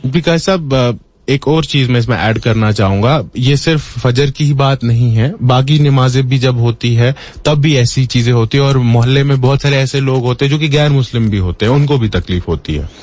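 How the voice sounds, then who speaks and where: A man's voice: medium pitch, moderate pace, darkish tone. A man hosting a call-in programme in a conversational style, taking questions and adding his own points. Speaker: host taking calls.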